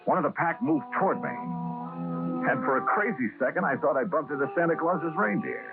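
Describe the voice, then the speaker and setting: An actor playing a wry insurance investigator with clipped, dry wit in an old-time radio detective drama. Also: male voice, a little high, lively intonation, moderate pace. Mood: dry narrating. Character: wry freelance insurance investigator, adult man. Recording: tinny vintage radio recording, music behind.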